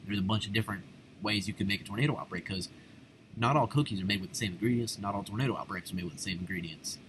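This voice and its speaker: A low-voiced American man hosts a live reaction, talking off the cuff. He is excitable and exclamatory, full of casual slang. There is clear noise behind his voice. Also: very fast. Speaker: reaction video host. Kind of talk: live unscripted reaction commentary.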